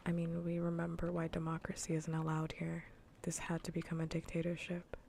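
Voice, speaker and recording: A woman livestreamer chatting casually in ASMR style while gaming, soft, breathy and often whispering, with a playfully scolding manner. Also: fairly low pitch, completely flat delivery, brisk, a little hiss or hum.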